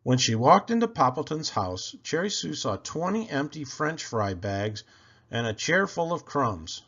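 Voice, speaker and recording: A man reading a picture book aloud to children, expressive and deliberate in his phrasing. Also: medium pitch, breathy edge, lo-fi sound.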